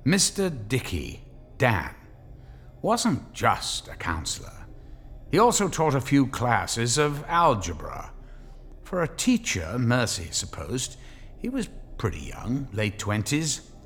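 A man's voice: medium pitch, huge pitch swings, takes it slowly, a bit bright. A man narrating a scripted dramatic story as the narrator of an audio drama.

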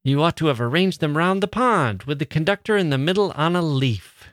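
Affected young society girl, trilling and slow, voiced by a male narrator; dry read. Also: on the high side, expressive, no room sound, voice pushed up, natural voice. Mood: amused. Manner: trilling, drawn-out. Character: gushing young society woman, playful and affected.

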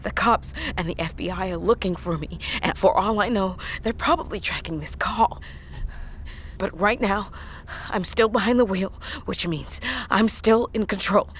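An actress as a battered woman trucker in an audio drama, sick and worn out but defiant. She speaks bluntly and haltingly at a middling pitch, with faint noise behind her. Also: lightly acted. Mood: weary, defiant. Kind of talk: naturalistic audio drama acting.

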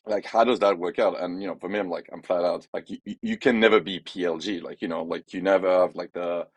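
A man with a French accent and a low voice speaks in a dry, close recording. He gives firm opinions in a casual, rambling way, filling his sentences with "like" and "you know". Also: steady tone, fast talker, husky, no room sound. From France. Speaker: podcast guest, head of growth. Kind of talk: casual business conversation.

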